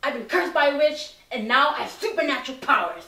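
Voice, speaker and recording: A woman performing gruff, crude comic characters in a student fairy-tale comedy. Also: high-pitched, animated, a little fast, pushed and bright. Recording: roomy, distant mic.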